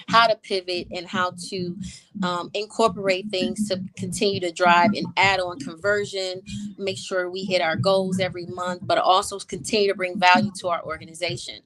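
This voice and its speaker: A woman of medium pitch answers an interviewer's questions about her ventures. She speaks with confidence and drive, in the language of corporate goals and growth. It sounds like an ordinary conversational interview. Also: fairly bright tone, a little hiss or hum, rough recording. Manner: confident, goal-driven business talk. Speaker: guest entrepreneur.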